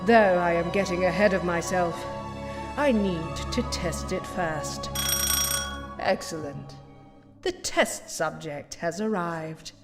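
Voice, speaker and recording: A woman playing a cold, condescending mad scientist villain in an audio drama, with a haughty, measured manner and false sweetness, in a reverberant space. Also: lowish voice, music in the background. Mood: triumphant, scheming. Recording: long reverberant hall echo.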